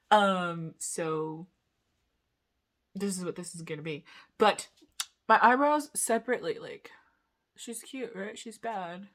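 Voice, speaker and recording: A man's voice: sits high, takes it slowly, long pauses, a bit bright. A young man vlogging in a casual, rambling way, full of asides and giggles. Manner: chatty, giggly, talks in loose asides. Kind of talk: casual rambling chat to camera.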